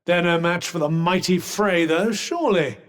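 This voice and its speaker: A man's voice: high voice, moderate pace, long reverb tail, natural voice. An actor playing a wry, teasing male magic cuff in a game, speaking in a well-spoken, sardonic way. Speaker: game companion character. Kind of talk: game voice lines.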